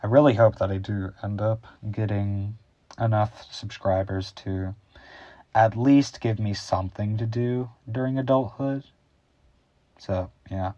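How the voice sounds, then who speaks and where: A deep, bass-heavy teenage boy talks off the cuff, wandering and a bit awkward, in an unhurried drawl. The recording is muffled and dry, as if from a cheap mic in a small bedroom. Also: steady tone, mellow, no echo. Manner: rambling, improvised, a little awkward. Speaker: YouTube host. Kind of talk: casual unscripted chat.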